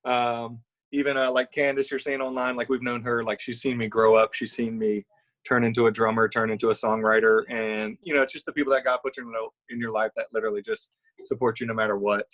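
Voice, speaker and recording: A young man from Louisiana, speaking as a musician guest in a casual live-streamed interview. He talks in a loose, friendly, rambling way, full of little restarts. His voice sits in a plain middle pitch. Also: brisk, rough recording. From Baton Rouge, Louisiana. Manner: relaxed, affable, loose and rambling.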